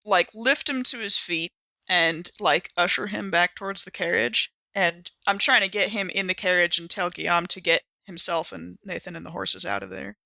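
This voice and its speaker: A woman chatting casually as a player in a tabletop roleplaying game, expressive in how she talks. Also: fairly low pitch, moderate pace, gravelly, no room sound.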